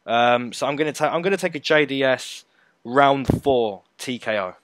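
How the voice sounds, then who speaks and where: A man co-hosting a fight prediction show, giving emphatic, animated opinions in casual chat. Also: mid-range voice, normal speed, dry recording. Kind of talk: casual betting breakdown chat.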